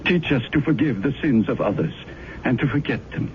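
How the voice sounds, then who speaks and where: Actor as a solemn, persuasive, expressive pious man. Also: medium pitch, brisk, lightly acted. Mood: pious, fervent. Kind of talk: 1970s radio drama acting. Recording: muffled, hissy old radio broadcast recording.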